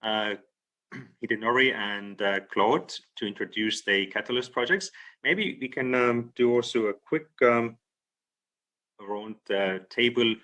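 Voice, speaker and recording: A man hosting a video call breakout session, relaxed and full of fillers. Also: medium pitch, measured pace, highs cut off. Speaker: session host. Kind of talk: casual video call moderation.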